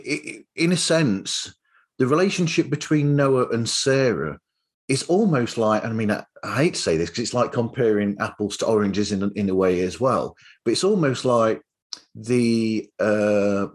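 A man hosting a casual interview, rambling a little as he circles back through his thoughts. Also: mid-range voice. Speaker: podcast host.

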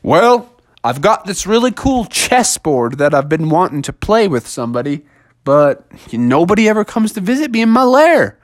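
A friendly, laid-back lake monster with a lively, bouncy voice, as a man puts him on. He talks casually and lonely-hearted, and it is recorded close and dry. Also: medium pitch, moderate pace, no room sound, pitched above the performer's own voice, close to an everyday voice.

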